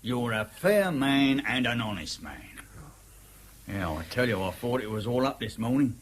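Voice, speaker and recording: An actor playing a wily, ingratiating ex-convict of fifty-five in a radio drama, with a husky voice and a chummy, deferential manner. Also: male voice, mid-range voice, sing-song, moderate pace, slight background noise, natural voice. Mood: chummy, rueful. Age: 50s. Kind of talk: naturalistic radio drama acting.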